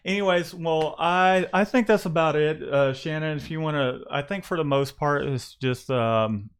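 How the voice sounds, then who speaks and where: A man's voice: a little high, normal speed, clean tone. A man hosting a casual livestream about his screen-printing shop, talking in a rambling way with many restarts and fillers.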